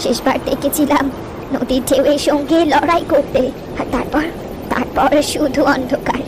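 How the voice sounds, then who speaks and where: A woman playing a wistful, gentle old village grandmother who tells river lore with poetic, reflective pauses in a cartoon story. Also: high-pitched, steady tone, background noise, close to an everyday voice. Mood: sorrowful, wistful. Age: older.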